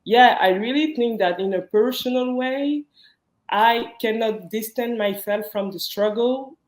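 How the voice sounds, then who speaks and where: Earnest speaker, roomy echo. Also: medium pitch. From Belgium. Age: young adult. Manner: earnest, personal, slightly halting. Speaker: guest, social justice activist. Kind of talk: interview conversation.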